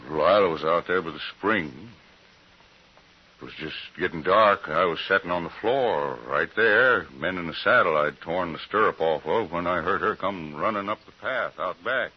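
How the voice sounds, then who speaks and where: An actor playing a grim homesteader with a Western American drawl and halting folksy speech, in old radio western style. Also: male voice, sits low, slightly rough. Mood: shaken, subdued. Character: homesteader Jim, grim slow-drawling frontier man. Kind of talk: 1950s radio western acting. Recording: muffled, noisy vintage radio recording.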